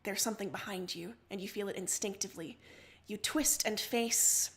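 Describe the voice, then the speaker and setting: A woman reading a story aloud in an expressive, theatrical way, as a dramatic narrator. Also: medium pitch, normal speed. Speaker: livestream story reader.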